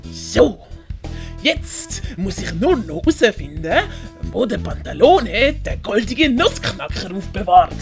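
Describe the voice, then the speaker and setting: A woman's voice: medium pitch, big pitch swings, slow, creaky, soft background music, full character voice. A woman voicing a sneaky, scheming male thief in an audiobook, gleeful and impatient with a theatrical, stealthy delivery. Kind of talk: dramatized audiobook character voice.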